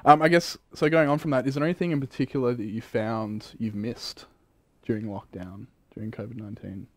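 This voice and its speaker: A man's voice: medium pitch, fairly even intonation, brisk, no echo. Australian man hosting a panel. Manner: encouraging, asks questions. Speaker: host. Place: church studio set, indoors. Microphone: handheld microphone.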